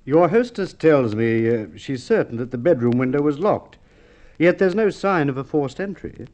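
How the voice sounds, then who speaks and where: An actor playing a shrewd, formal police inspector in a Golden Age radio drama, questioning in a deliberate, coolly probing way. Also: male voice, on the high side, animated, a little mellow, close to an everyday voice. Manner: measured, deliberate questioning with hesitations. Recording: muffled vintage radio drama recording.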